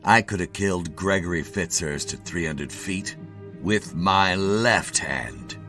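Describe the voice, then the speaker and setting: Man as a slow, low, fearless hero. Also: soft background music, full character voice. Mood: cool menace. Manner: calm, unhurried, nonchalant. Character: cool, fearless, weary male military hero.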